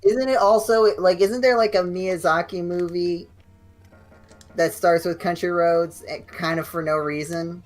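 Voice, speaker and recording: A man's voice: high-pitched, a little slow, soft background music. A young man chatting casually with friends on a game night stream, telling rambling stories full of restarts. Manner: rambling, animated storytelling with many restarts. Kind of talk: casual chat among friends.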